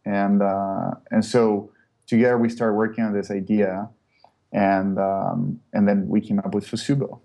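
A man's voice: lowish voice, flat delivery, measured pace, gravelly, mellow. A man from Chile, a startup co-founder, answering interview questions in a hesitant, casual way with many fillers and restarts.

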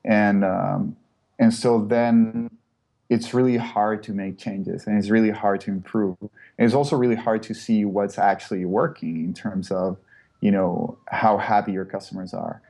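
A man's voice: lowish voice, fairly even intonation, a little slow, vocal fry, dark tone. A man from Chile, a startup co-founder, answering interview questions in a hesitant, casual way with many fillers and restarts.

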